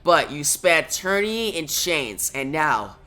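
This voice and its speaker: A man's voice: a little high, sing-song, unhurried, pushed and bright, full character voice. A man voicing an aging hero pleading urgently. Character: determined veteran male hero leader.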